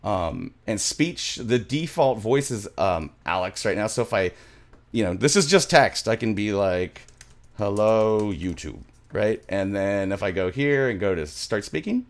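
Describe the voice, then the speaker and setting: A man's voice: lowish voice, lively intonation, moderate pace. A middle-aged man hosting a music production tutorial in a casual, rambling way, often repeating his phrases. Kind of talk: casual screen-share tutorial talk. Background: music from the software.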